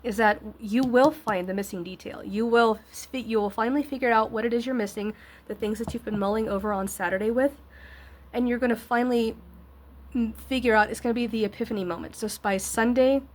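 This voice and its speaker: A woman with a fairly high voice talks through a tarot reading in a casual, supportive way. She sounds a bit scratchy and nasally congested, as if getting over an illness. Her delivery wanders as she hunts for the right words. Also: steady tone, fairly quick. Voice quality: slightly scratchy. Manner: rambling, searching for words, encouraging. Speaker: tarot reader and host.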